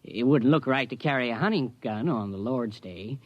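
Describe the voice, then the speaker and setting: An actor playing a meek, pious, unstable neighbour in a 1950s radio drama, speaking hesitantly with formal, apologetic manners. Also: male voice, mid-range voice, animated, normal speed, dry recording, close to an everyday voice. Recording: muffled vintage radio broadcast recording.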